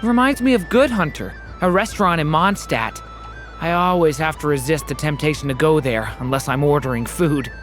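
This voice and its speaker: An actor voicing a gentle, polite young traveller in an anime game, earnest and kindly. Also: medium pitch, expressive, moderate pace, soft background music, lightly acted. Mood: wistful. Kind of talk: anime game cutscene acting.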